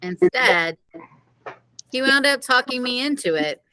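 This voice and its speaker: A woman's voice: high voice, expressive, sharp tone, slight background noise, exaggerated voice, low-quality recording. Woman playing a firm, fair-minded coach. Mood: firm, reasoning. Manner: firm, measured, admonishing. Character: firm, fair-minded female science teacher and cheerleading coach. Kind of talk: children's audiobook character reading.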